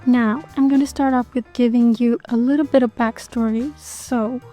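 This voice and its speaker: A young woman from Sweden chatting casually in a home vlog, soft-spoken and thinking aloud as she goes. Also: on the high side, fairly even intonation, moderate pace, soft background music. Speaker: vlogger.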